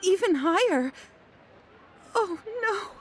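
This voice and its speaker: An actress playing an anxious, polite young merchant woman in a video game, halting and earnest. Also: very high voice, expressive, slight background noise, full character voice. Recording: music clearly behind the voice.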